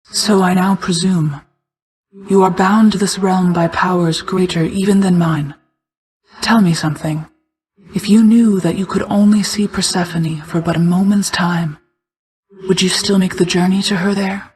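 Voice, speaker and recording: A woman voicing a solemn, regal night goddess and protective mother figure in a video game, speaking in a formal, measured, restrained way. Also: fairly low pitch, fairly even intonation, darkish tone, close to an everyday voice, low-quality recording. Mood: solemn, caring. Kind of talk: scripted video game character dialogue.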